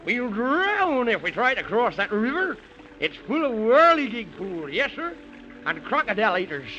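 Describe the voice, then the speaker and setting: An actor playing a fussy, excitable, kindly Man in the Moon in an old-time radio children's serial, exclaiming in a folksy way. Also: very high voice, moderate pace, full character voice. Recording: muffled, noisy old radio broadcast recording.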